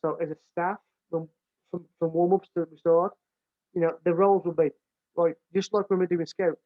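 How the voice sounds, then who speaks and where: A man's voice: high-pitched, little pitch movement, moderate pace, no room sound. British male coach, big voice, plain-spoken, repeats himself, dry and thin phone-quality sound. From United Kingdom. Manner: restarts and repeats words often, plain-spoken. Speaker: American football coach. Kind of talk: casual explanatory talk. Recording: dry, tinny phone-like line.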